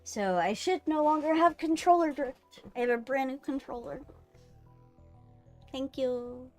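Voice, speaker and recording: A woman's voice: high voice, measured pace, soft background music. A woman chatting casually on a livestream, with a playful, teasing manner. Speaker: streamer hosting a co-op visual novel playthrough.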